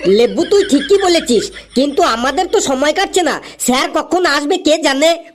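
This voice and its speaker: Lady doing excitable cartoon village school kid, high pitched, earnest and over the top. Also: normal speed. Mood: curious. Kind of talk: Bengali comedy cartoon dialogue.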